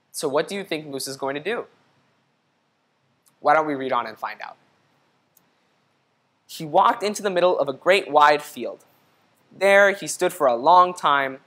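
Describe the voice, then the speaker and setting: A man's voice: a little high, sharp tone. A young man reading a children's story aloud, instructing and putting questions to young listeners. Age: young adult. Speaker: storybook reader. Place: indoor studio room. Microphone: lapel mic.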